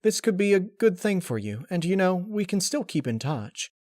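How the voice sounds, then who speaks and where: A man's voice: high-pitched, sing-song, moderate pace, darkish tone, no echo, clean studio sound, voice pushed up. A man voicing a young female scholar pony, politely scheming, in a formal, reassuring manner for a story reading. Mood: falsely sympathetic.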